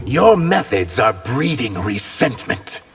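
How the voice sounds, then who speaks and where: An actor playing a stern, principled male warrior emissary in a video game, speaking in a measured, formal manner. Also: medium pitch, big pitch swings. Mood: reproachful. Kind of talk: video game character dialogue. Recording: long reverb with music behind.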